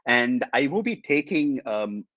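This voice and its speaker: A man's voice: medium pitch, big pitch swings, unhurried, a bit bright, no room sound, muffled recording. A man whose first language is Tamil, presenting a business webinar with many ums and uhs as he explains. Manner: many fillers, hesitant, explanatory. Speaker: webinar speaker, company executive.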